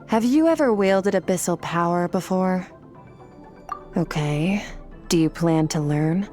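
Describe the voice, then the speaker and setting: A cool, detached woman mentor, voiced by an actress with a lowish voice, keeping every word curt and businesslike. She explains things calmly and without warmth, over faint game music. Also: natural voice. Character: aloof, cool-headed woman mentor from the Abyss. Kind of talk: video game voice acting.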